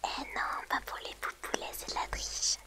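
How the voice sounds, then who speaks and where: A woman streamer whispering softly and gently to her viewers in an ASMR livestream, close to the mic. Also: normal speed.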